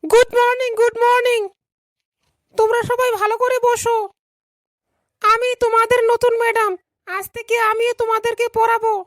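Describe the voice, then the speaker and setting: A woman's voice: extremely high pitch, flat delivery, moderate pace, pauses often, a bit bright, no echo. A woman voicing a polite young female schoolteacher in a comedy cartoon, instructing her pupils. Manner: instructing, addresses pupils in turn.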